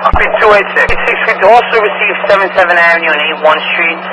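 A man's voice: high-pitched, fast talker. A man working as a fire dispatcher, speaking in terse, clipped, businesslike phrases over two-way radio. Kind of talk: terse radio dispatch, reading units and codes. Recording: noisy fire radio scanner audio.

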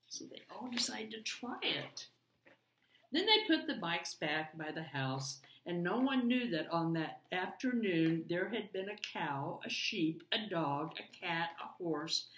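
Older woman reading aloud, very animated. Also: medium pitch, slight background noise. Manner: expressive, deliberate, addressing children. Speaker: storybook reader. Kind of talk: children's read-aloud storytelling. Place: home dining room, indoors. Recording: roomy echo, distant room microphone.

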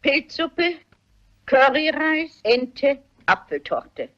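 An older actress playing a shrewd, polite, dignified elderly woman sleuth posing as a housekeeper in a German radio play, with a husky voice. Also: sits high, big pitch swings, drawn-out words, fairly bright tone, exaggerated voice. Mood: matter-of-fact. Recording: dull, muffled radio play recording.

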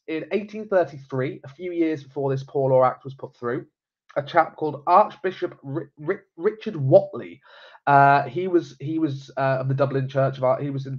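Stuffy-nosed young man, chatty. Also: medium pitch. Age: young adult. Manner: chatty, many fillers and repeated words. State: has a cold, stuffy. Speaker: genealogy presenter. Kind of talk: casual live-stream explainer. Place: small home room. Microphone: webcam at desk. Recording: slightly dull webcam audio.

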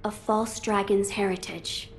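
A solemn young boy lord acted by a woman speaks in a video game. His delivery is formal, pensive and carefully measured, with faint ambient noise behind it. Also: medium pitch, flat delivery, natural voice. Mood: grave, pensive. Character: young noble boy lord, grave and thoughtful.

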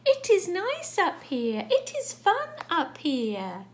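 A woman's voice: very high-pitched, huge pitch swings, slow pace, a little hiss or hum, pitched above the performer's own voice, full character voice. A woman voicing a cheery bird in a children's storybook, coaxing in a singsong call. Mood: coaxing, cheerful.

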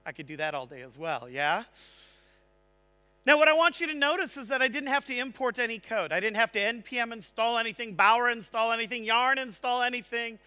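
Bright, high-voiced man giving a tech talk. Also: fairly quick, smooth. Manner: energetic, engaging, asks the audience "right?". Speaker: conference speaker. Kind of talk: technical conference talk. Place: large conference stage.

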